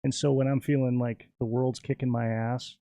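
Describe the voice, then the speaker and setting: Man from Minnesota, gravelly voice, relaxed rambling chat, dry close sound. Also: mid-range voice, moderate pace, no room sound. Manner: laid-back and rambling, with many fillers. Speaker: podcast guest. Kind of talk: casual podcast chat.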